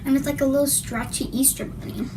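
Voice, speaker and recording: A woman's voice: high-pitched, fairly even intonation. A young woman reviewing slime in a casual vlog, chatty and apologetic, her voice worn and her throat scratchy. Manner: apologetic, chatty, drawn-out words. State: losing her voice, clearing her throat. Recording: loud background noise.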